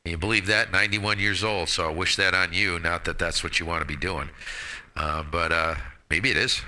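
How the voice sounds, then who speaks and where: A man's voice: sits low, fairly even intonation, a little fast, sharp tone. A man of about sixty chatting casually as an amateur radio operator, joking, slangy and self-deprecating. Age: 59, about to turn sixty. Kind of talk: casual radio chat with friends.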